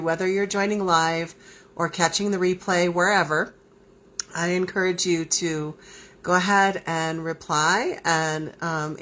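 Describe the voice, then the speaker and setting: A woman hosting a spiritual live stream, talking casually to viewers, slightly hoarse from a cold and repeating her questions with frequent ums. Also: on the low side, a bit bright, slight background noise. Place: home, southern Arizona.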